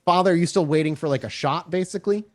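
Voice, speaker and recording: A man's voice: a little high, fast. A man running a tabletop role-playing game, improvising descriptive narration in a conversational way as storyteller. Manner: descriptive, conversational, checks in with players.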